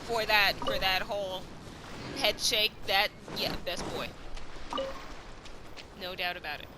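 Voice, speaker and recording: A woman's voice: mid-range voice, steady tone, slow pace, noisy background. A woman giving casual, playful, teasing commentary as she plays through a video game. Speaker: gaming video host. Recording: game music behind the commentary.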